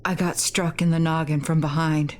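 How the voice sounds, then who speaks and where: A woman's voice: sits low, little pitch movement, normal speed, noisy background, pitched below the performer's own voice, close to an everyday voice. A woman voicing a tough teenage schoolboy in a casual, matter-of-fact way, reading game dialogue aloud. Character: tough, blunt teenage high school boy.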